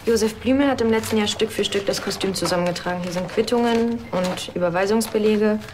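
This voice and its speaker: An actress playing a businesslike police investigator, factual and efficient, in a television crime comedy. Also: female voice, mid-range voice, brisk, noisy background, close to an everyday voice. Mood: matter-of-fact.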